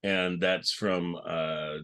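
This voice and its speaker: A man with a deep-pitched voice hosts a panel. His delivery is informal and conversational, as in a casual podcast chat. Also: fairly even intonation, drawn-out words, fairly bright tone, clear, resonant tone. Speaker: podcast host.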